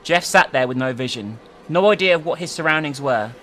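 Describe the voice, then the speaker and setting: A woman's voice: low voice, lively intonation, brisk, fairly bright tone, a little hiss or hum. A woman giving a theatrical, emphatic dramatic reading of a story, as a host who also comments casually. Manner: theatrical, emphatic, sweary in asides.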